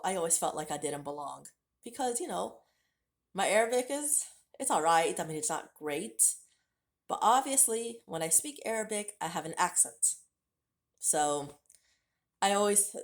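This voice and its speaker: Lively, low-voiced woman vlogger. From United States. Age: late 30s. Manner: candid and conversational, direct address to viewers. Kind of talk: personal storytelling to camera.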